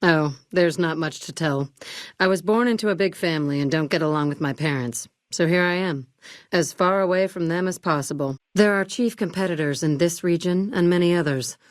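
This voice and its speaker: A cool, calculating businesswoman from a video game, acted by a woman, speaks in a low register. Her delivery is measured and curt, with a condescending, businesslike edge. The recording is dry, with no room around the voice. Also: no echo, close to an everyday voice. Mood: cool, guarded. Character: cool, ruthless businesswoman running a family arms business. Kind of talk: video game character dialogue.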